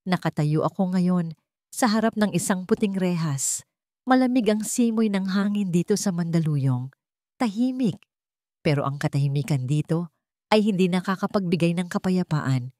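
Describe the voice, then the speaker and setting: A woman with a fairly low voice narrates a first-person drama for an audiobook. Her delivery is solemn and emotive, recorded dry with hardly any room sound. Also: expressive, moderate pace, warm tone, no echo.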